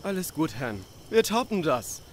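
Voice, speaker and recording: A man's voice: a little high, sing-song, normal speed. A man playing a cheeky, party-loving young drifter in a German game fan dub, casual and teasing. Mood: goading.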